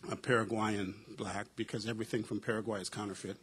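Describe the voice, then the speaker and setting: A man's voice: fairly low pitch, level tone, quick pace, background noise. A middle-aged man from the United States, a professor on a panel, telling reflective personal stories with a wry humor and frequent uh and um fillers. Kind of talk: personal storytelling at a panel discussion.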